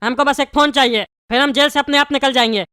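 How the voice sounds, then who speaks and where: Woman-voiced high, fast-talking angry jailed father. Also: fairly even intonation, pushed and bright, no echo, studio quality. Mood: insistent. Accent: eastern Indian (Bihari-style) Hindi. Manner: hot-tempered, scolding, bossy, colloquial. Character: angry scheming jailed father, bald cartoon character. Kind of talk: comedy cartoon dialogue. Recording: clearly noisy background.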